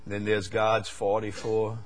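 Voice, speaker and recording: An older man from the United States preaching a sermon, swinging from loud, fervent stretches to quiet asides. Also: on the low side, monotone, unhurried, soft background music.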